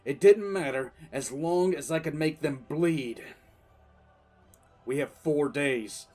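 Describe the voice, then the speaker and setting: A man playing a weary, resolute military commissar who recounts his war in deliberate, emphatic dramatic narration. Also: a little high, darkish tone. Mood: fierce resolve. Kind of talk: dramatic first-person audiodrama narration. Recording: music bed under the voice.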